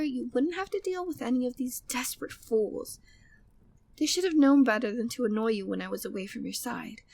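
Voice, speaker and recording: High-voiced flirty, devoted maid played by a woman. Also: full character voice. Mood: annoyed, protective. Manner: formal, genteel phrasing, breathy sighs, teasing. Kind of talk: intimate ASMR roleplay, scripted monologue.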